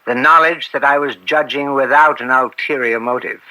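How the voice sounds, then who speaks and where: Anguished upright judge, American, middle-aged, very forceful sharp tone, grave theatrical radio-play delivery. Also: male voice, medium pitch, steady tone, moderate pace, poor audio. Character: anguished, upright judge and father, bitter and conflicted. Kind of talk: old-time radio drama acting.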